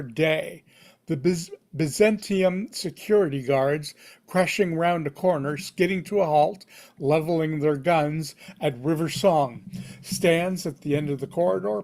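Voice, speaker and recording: A man's voice: on the high side, a little mellow. A man reading screenplay stage directions aloud at a table read, in punchy, dramatic fragments.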